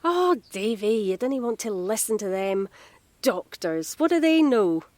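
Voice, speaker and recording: Friendly, cheeky Scottish female home carer played by an actress, chatty and gently teasing, mid-pitched, audio drama, dry close sound. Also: very animated, unhurried, no room sound. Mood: cheeky, reassuring.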